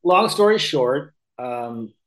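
A man's voice: medium pitch, animated, slow. American male, fifties, mid-range voice, casual rambling storyteller in interview. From Missouri, United States. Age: 50s. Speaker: podcast guest, real estate investor. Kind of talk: casual anecdotal interview talk.

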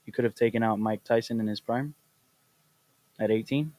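A man hosting a casual podcast, teasing his guest with quick questions and frequent laughter. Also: on the low side, dark tone, no echo. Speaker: podcast host.

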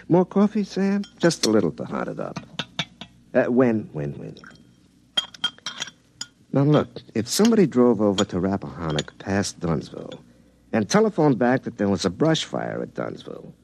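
A man's voice: medium pitch, wildly swooping pitch, moderate pace, creaky, long pauses, darkish tone, slight background noise. An actor playing an eager, folksy small-town young man, telling his story wryly in a radio drama. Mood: wry, musing. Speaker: narrator and lead actor. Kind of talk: classic radio drama acting with first-person narration.

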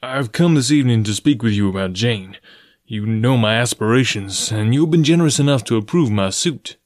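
A man voicing an earnest, formal, pressing gentleman suitor of about forty in an audiobook story. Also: mid-range voice, lively intonation, no echo, clean studio sound, lightly acted. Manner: deliberate, formal, blunt.

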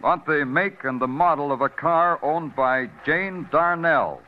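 An actor playing a terse, wisecracking detective lieutenant who also narrates in a brooding tone, in 1940s radio style. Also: male voice, a little high, a little slow, close to an everyday voice. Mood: urgent. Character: hard-boiled male detective lieutenant, terse and dry. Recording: dull old radio recording with music.

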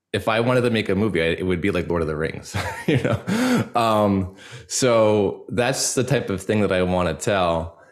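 A young man answering interview questions as a guest, talking in a casual, earnest, rambling way peppered with "like" and "you know". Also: on the low side. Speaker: podcast guest, actor and audio drama creator.

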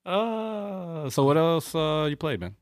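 A man co-hosting a podcast in casual chat, easygoing and agreeable with animated exclamations. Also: fairly high pitch, takes it slowly, dry recording, studio quality. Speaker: podcast co-host.

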